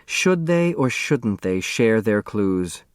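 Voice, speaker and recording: A man's voice: on the low side, sing-song, slow pace, smooth, dry recording. Male audiobook narrator, very dry close sound. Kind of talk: scripted literary narration.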